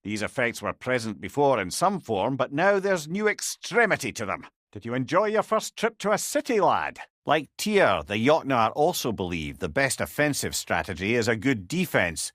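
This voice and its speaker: An actor with a Scottish accent playing a wise, witty talking severed head, a loyal older advisor with eloquent, old-fashioned phrasing in a video game. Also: male voice, medium pitch, sing-song, normal speed, hardly any pauses, a bit bright, no room sound, studio quality. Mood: wry, explanatory. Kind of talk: video game character dialogue.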